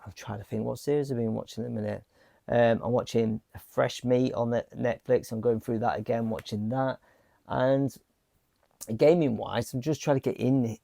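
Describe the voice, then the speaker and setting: A man chatting casually in a home vlog, his voice croaky from a lingering cough, rambling with plenty of fillers and restarts. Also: mid-range voice, warm tone, no echo, studio quality. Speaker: vlogger. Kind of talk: casual chat. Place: home game room. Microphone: small handheld mic.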